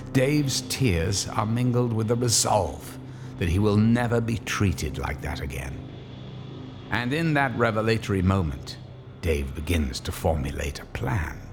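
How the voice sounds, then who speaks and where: A man's voice: on the low side, background noise. A man giving dramatic scripted narration for an audio drama. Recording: long reverb, music bed behind. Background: music.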